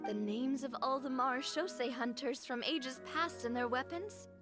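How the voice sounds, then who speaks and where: A woman's voice: sits high, unhurried, music in the background. An actress voicing a cheerful, self-assured young woman with a teasing, breezy manner, in a video game. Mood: playful. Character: cheerful young woman, confident playful role-player.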